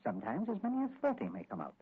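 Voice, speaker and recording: Husky, pedantic old radio-drama doctor. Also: male voice, mid-range voice, huge pitch swings, exaggerated voice. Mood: pedantic, explaining. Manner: pedantic, deliberate explaining with hesitant uhs. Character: learned older male doctor, old friend and advisor. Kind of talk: 1940s radio drama acting. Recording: tinny, scratchy 1940s radio recording.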